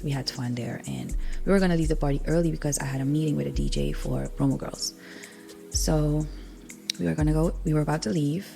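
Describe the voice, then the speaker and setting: A woman's voice: low-pitched, gravelly, mellow. A young woman with a Surinamese accent, whose first language is Sranan Tongo, hosting a personal spiritual podcast in an earnest, confessional way, sniffly with a cold. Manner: earnest, raw and confessional, with many ums. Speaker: podcast host.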